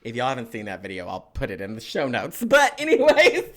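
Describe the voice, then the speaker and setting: A man's voice: medium pitch, wildly swooping pitch, brisk, a bit bright. A man co-hosting a comedy podcast, trading crude, teasing banter and laughing often. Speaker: podcast co-host.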